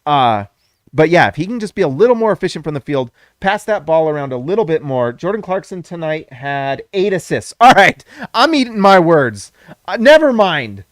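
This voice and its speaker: An excitable man talking through a basketball game reaction, with a voice pitched a little high. He repeats his points for emphasis and corrects himself on the fly. His voice is getting hoarse, and it sits close and dry with no room around it. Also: normal speed, dry recording. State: losing his voice. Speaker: sports channel host. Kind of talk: casual postgame basketball reaction.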